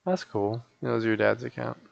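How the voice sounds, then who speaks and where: A man's voice: medium pitch, fairly even intonation, normal speed, creaky, muffled recording. A sleepy man chatting casually and in a rambling, folksy way as he live-streams a game.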